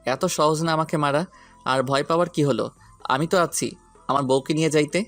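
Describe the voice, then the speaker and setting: A man's voice: fairly high pitch, moderate pace, fairly bright tone. An actor playing a hot-headed, protective young man in an audio story, insistent and defiant. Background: background music.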